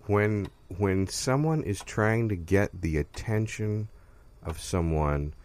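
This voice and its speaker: A man acting as quizmaster in an improv comedy game, posing open-ended prompts in a measured way. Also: lowish voice, a little mellow.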